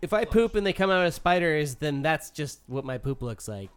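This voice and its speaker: A man's voice: fairly high pitch, expressive, moderate pace, clear, resonant tone, soft background music. A man joking casually and playfully arguing his points as a panelist on a comedy talk show.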